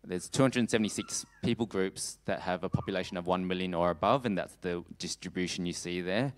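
A man preaching a missions sermon in a halting way, often pausing mid-phrase. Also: deep voice, slight background noise. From Australia. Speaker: preacher giving a talk on world missions. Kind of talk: sermon with slides, closing in prayer.

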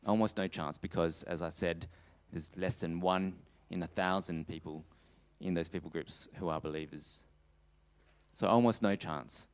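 A man gives a church talk in a low, smooth voice. He moves haltingly through his sentences, stopping partway through phrases before carrying on. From Australia. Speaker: preacher giving a talk on world missions. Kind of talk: sermon with slides, closing in prayer.

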